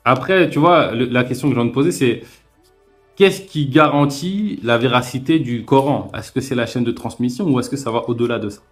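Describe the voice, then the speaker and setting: A man hosting a calm live-stream debate, reasoning aloud in a hesitant way with many fillers and restarts. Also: mid-range voice, a little fast, soft background music. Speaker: host. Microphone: remote live-stream call.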